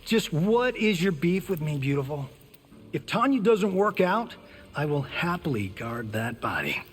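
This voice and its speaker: An actor playing a cocky, flirtatious action-star playboy in a video game, with a casual, smug delivery. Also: male voice, fairly high pitch, sing-song, a little slow, slight background noise. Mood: smug, flirty. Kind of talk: dramatic video game dialogue. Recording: long reverberant hall sound.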